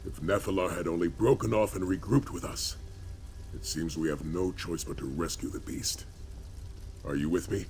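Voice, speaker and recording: Deep, solemn warrior leader. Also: male voice, darkish tone, slight background noise. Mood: grim, urgent. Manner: solemn, measured, rousing oratory. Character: grave, weary but hopeful warrior leader of dragon slayers. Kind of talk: video game voice acting, dramatic fantasy dialogue. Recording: game audio with faint background ambience.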